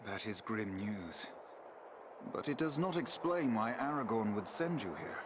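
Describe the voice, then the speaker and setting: A man's voice: lowish voice, animated, a little slow, noisy background. A man playing a noble, courtly elf warrior in a fantasy game, speaking formally. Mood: questioning. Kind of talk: fantasy game cutscene acting.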